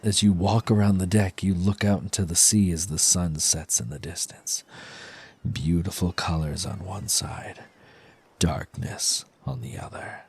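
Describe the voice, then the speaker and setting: A man narrating second-person ASMR fiction in a breathy, husky, suspenseful hush that often drops to a near whisper, close to the microphone. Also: low voice, steady tone, unhurried. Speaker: audio storyteller, ASMR performer. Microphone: close dry microphone.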